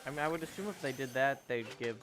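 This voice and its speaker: A man's voice: mid-range voice, quick pace. 20 yr old guy rambling on his game stream, talking to chat, faint music behind. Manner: rambling, self-correcting, answering chat. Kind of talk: casual gaming livestream chat.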